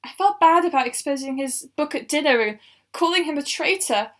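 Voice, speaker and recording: A woman's voice: high-pitched, lively intonation, a little fast, a bit bright. A woman voicing an emotional villager who found a body, rambling and tearful in an amateur audio drama. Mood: upset.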